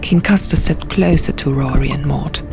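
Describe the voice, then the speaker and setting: A woman narrating a fantasy audiobook in a hushed, measured, dramatic storytelling style. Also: rumbling low, gravelly. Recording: music behind the voice.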